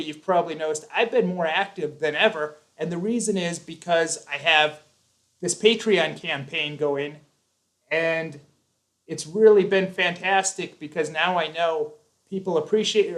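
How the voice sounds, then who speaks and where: A man's voice: fairly high pitch, moderate pace. A man hosting a live chat, talking earnestly and at length, with frequent restarts. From United States. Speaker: YouTube host.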